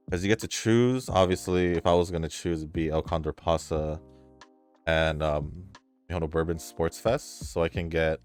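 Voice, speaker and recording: A man talking casually as a gaming YouTuber, reading viewer comments aloud and reacting in a laid-back, hesitant way with trailing fillers. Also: extremely low pitch. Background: faint background music.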